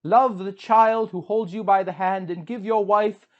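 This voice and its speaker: A wise tavern-keeper woman voiced by a man speaks in a raised, high pitch. She counsels with slow, solemn deliberation, like a figure in an old storybook epic. The sound is slightly dull. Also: fairly even intonation. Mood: gentle, solemn. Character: wise, measured female tavern keeper giving gentle counsel.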